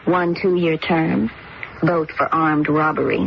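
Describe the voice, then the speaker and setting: A woman's voice: deep voice, fairly even intonation, slow pace, creaky, full character voice. An actress playing a young rich woman who coolly plots a murder in a radio drama. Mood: calculating. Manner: cool, calculating, insistent. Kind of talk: 1970s radio drama acting. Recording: dull old radio broadcast, noisy.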